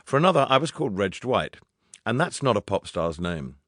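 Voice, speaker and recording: Male audiobook narrator, lowish register, dry studio sound, wry and humorous memoir delivery. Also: very animated, brisk, fairly bright tone, no room sound. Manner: wry, dryly humorous storytelling.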